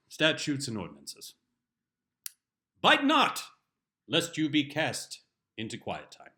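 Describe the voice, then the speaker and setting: A man's voice: on the high side, pushed and bright, exaggerated voice. Man playing a pompous father, wildly swooping delivery. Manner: pompous, theatrical, solemn proclamation. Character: stern exasperated father proclaiming household laws like biblical scripture. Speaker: reader performing a humorous essay. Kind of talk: dramatic comic reading in mock-scriptural language.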